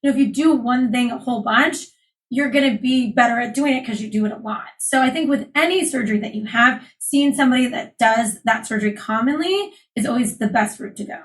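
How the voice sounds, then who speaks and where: A woman surgeon, calmly explaining voice care as an interview guest. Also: on the high side, brisk. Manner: clear, explanatory, calm. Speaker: laryngologist guest. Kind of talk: interview, explaining medical topics.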